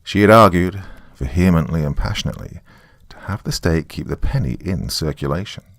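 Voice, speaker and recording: A man's voice: low-pitched, expressive, a little fast, dry recording. A man playing a wry, jaded, middle-aged divorced small-town guy, telling a horror story conversationally in the first person with dry sarcasm. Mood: wry, confiding. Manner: sardonic, dry, confiding.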